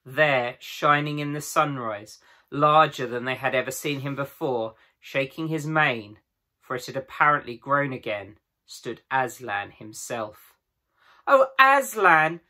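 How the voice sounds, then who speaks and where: A man's voice: mid-range voice, unhurried, sharp tone. A man in his thirties narrating a children's storybook aloud, as a teacher would to pupils. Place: small room at home with bookshelves.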